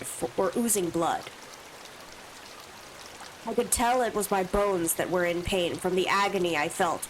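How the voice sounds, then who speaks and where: A woman's voice: on the low side, a little hiss or hum, low-quality recording. A woman streamer with allergy sniffles, reading a visual novel's first-person text aloud with asides of her own. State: stuffy from allergies.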